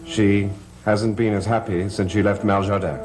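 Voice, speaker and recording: An actor plays a brooding gentleman of a gothic manor. His voice is fairly low, measured and courteous, in old TV soap style, with music clearly heard behind him. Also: little pitch movement, natural voice. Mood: courteous, guarded. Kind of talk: gothic television soap opera acting. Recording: old TV soundtrack with organ music.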